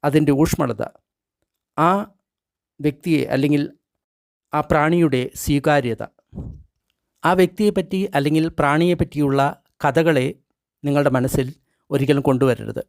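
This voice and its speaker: Higher-voiced man guiding a meditation. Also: gravelly, dry recording, clean studio sound. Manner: measured, instructional, short phrases with pauses. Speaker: meditation guide. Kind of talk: guided meditation, read from a translated script.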